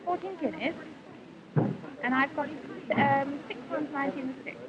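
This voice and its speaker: A woman's voice: high voice, normal speed. A woman, a shopper giving polite answers to an interviewer. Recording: muffled 1950s newsreel, busy crowd.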